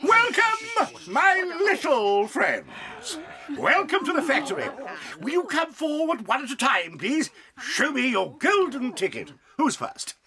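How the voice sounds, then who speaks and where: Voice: high-pitched, big pitch swings, unhurried, sharp tone, exaggerated voice. A performer playing a whimsical, eccentric male chocolate-maker in an audio drama, speaking with theatrical flourishes and sudden swoops. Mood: welcoming.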